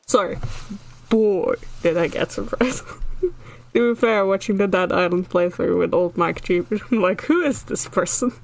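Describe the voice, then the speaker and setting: Dutch girl streaming, talks slow, laughs a lot, rambly casual chat. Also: lowish voice, creaky. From Netherlands. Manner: giggly, rambling, laughs often.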